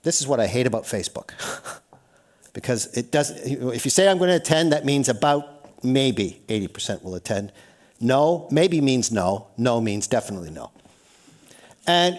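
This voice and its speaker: A Canadian man giving an energetic, interactive seminar talk, often putting questions to the audience. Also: a little high. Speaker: workshop speaker. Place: lecture hall.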